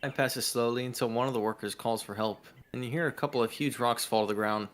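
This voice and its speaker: A man's voice: medium pitch, steady tone, fast talker. A man co-hosting a live stream, switching between casual chat and reading an interactive story aloud.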